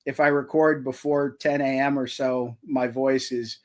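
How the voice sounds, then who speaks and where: A man's voice: little pitch movement, a little slow. A man, an author and audiobook narrator, chatting casually on a live stream about his home recording setup, a little self-deprecating, with frequent restarts. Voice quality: slightly high voice. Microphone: cheap stand mic in a foam sound box.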